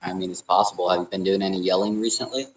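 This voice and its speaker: A man's voice: deep voice, steady tone, very fast, background noise. A young man co-hosting a podcast, explaining things in a matter-of-fact, conversational way. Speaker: podcast co-host. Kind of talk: casual chat, explaining.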